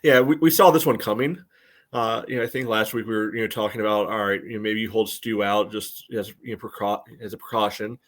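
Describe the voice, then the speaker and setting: A man's voice: on the low side, steady tone, fairly quick, a bit bright. A man co-hosting a sports podcast, giving casual analysis in a hesitant, loosely phrased way full of "you know".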